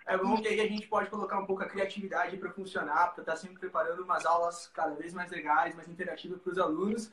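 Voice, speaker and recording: A Brazilian man, a language teacher, joining a livestream by video call and talking in a friendly, relaxed way. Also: high voice, quick pace, hardly any pauses, echoey room, recorded from a distance, rough recording. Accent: Brazilian Portuguese. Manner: friendly, relaxed, many "né" tags. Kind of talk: guest on livestream.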